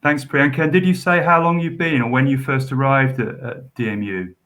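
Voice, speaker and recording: Casting note: man, student support officer hosting a webinar, rambling and hesitant, mid-pitched. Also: normal speed, a bit bright. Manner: rambling, hesitant, with many ums and repeated hopes. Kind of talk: live online Q&A session.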